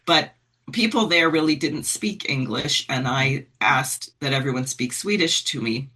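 A woman's voice: rumbling low, unhurried, sharp tone, husky. A middle-aged woman in an earnest conversation, thinking aloud with fillers as she makes her case. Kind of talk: conversational political talk.

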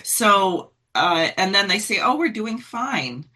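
Middle-aged woman, bright husky voice, earnest, thinks out loud with lots of uhs. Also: mid-range voice, big pitch swings, slow. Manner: earnest, searching, thinks aloud with fillers. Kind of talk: conversational political talk.

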